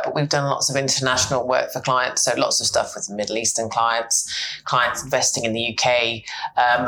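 A British woman guesting on a podcast, her voice mid-pitched and bright. She speaks in an earnest, thoughtful way. The room is echoey, with a faint hiss. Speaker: guest, partner lawyer. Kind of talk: casual podcast chat.

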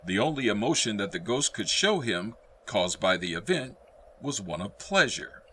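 A man's voice: on the low side, very animated, normal speed, sharp tone, slight background noise. A man narrating a radio play as a scripted dramatic storyteller, with music behind him.

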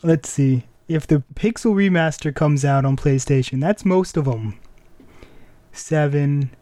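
A man's voice: on the high side, a little slow, dark tone, no room sound. A man hosting a podcast, chatting casually about pop culture. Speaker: podcast host. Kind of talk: casual chat about anime music and games.

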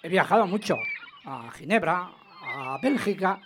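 A man's voice: sits high, animated, a little slow. Man acting spry man in his eighties, chatty and boastful, radio play caller, faint noise, seabirds behind. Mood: cheerful, chatty. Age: 80s. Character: spry, chatty 82-year-old widowed retired financier and yachtsman. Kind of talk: radio comedy drama, phone-in caller.

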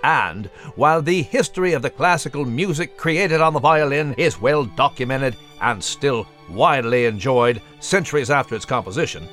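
Pompous cartoon newsreader played by a man, fussy and wordy, highly animated pitch, music underneath. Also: normal speed, few pauses, natural voice. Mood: pompous, mock-solemn. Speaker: news segment presenter. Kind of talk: mock news commentary.

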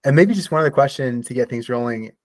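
A man's voice: mid-range voice, quick pace, darkish tone, no room sound. A man hosting an interview, asking friendly questions in an upbeat, encouraging way. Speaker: podcast host, interviewer.

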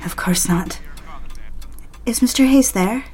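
A woman's voice: fairly high pitch, slow pace, a little mellow. An actress playing an anxious, apologetic woman in an audio drama, speaking in a hesitant, urgent way. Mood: apologetic, uneasy. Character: anxious, apologetic, pleading young woman, Andrea.

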